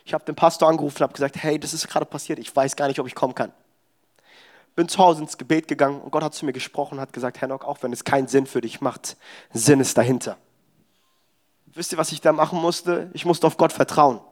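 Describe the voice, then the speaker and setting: A man's voice: a little high, fairly even intonation, fast. A young man preaching a sermon in a church service, exhorting the congregation with emphatic, personal testimony.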